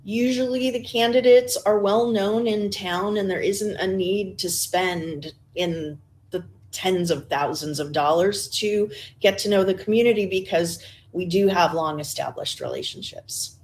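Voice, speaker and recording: A woman running for school board, answering forum questions in a relaxed way with many fillers. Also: mid-range voice, unhurried. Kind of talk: forum answers, casual speech. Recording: faint background noise.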